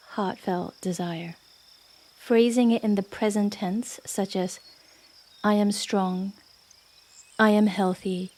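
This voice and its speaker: A woman guiding a yoga nidra meditation in a gentle, unhurried manner with long pauses. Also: mid-range voice. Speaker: meditation guide. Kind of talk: guided yoga nidra meditation, soothing narration.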